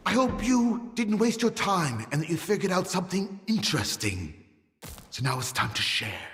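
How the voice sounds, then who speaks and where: An actor playing a taunting, zealous cult leader in a video game cutscene, preaching and mocking in a theatrical way. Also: male voice, high voice, sing-song, moderate pace, fairly bright tone, slight background noise, exaggerated voice. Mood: smug, coaxing. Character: zealous, taunting male cult leader of a post-apocalyptic zone. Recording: echoey hall, music behind.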